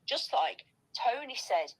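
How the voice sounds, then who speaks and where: A woman's voice: sits high, big pitch swings, takes it slowly, breathy edge, low-quality recording. A woman chatting casually in a gossipy, emphatic and insistent way.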